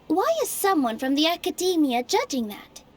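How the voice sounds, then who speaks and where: A woman's voice: high voice, sing-song, normal speed. A woman voicing an earnest young female dancer who argues passionately for her theater. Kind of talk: game voice acting.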